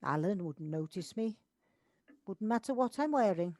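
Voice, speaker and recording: Casting: woman playing older, reserved, wounded woman for audio drama; very expressive pitch, close dry home recording. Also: moderate pace, no echo, natural voice. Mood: downcast. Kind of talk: amateur audio drama acting. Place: recorded at home on a personal device.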